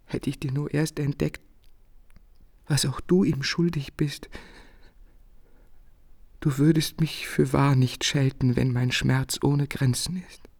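An actor playing an impassioned young male lover in a radio drama, speaking haltingly and fervently, often hushed. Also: medium pitch, little pitch movement, vocal fry. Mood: grief-stricken. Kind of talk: radio drama acting of a literary adaptation.

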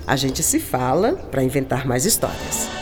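A woman's voice: rumbling low, very animated, moderate pace, in a large hall, music in the background. An actress playing a cultured, enigmatic woman writer in a Brazilian radio drama, speaking with musing, lyrical affection. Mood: tender, enigmatic. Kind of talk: scripted radio drama acting.

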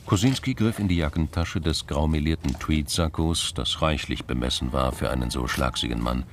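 A man's voice: very deep voice, moderate pace, slight background noise. A man reading scripted narration as the storyteller of a German radio crime drama.

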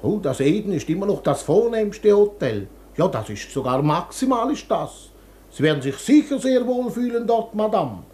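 An actor in a German radio play playing a chatty, flattering hairdresser from Lyon, effusive and obsequious. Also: male voice, high-pitched, moderate pace, a little hiss or hum.

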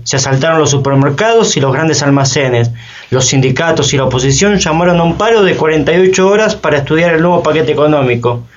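Actress as inquisitive reporter on muffled recording. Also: female voice, low-pitched, close to an everyday voice. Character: inquisitive reporter recounting and covering street protests. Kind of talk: dramatised news narration in a radio play. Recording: muffled, crowd in background.

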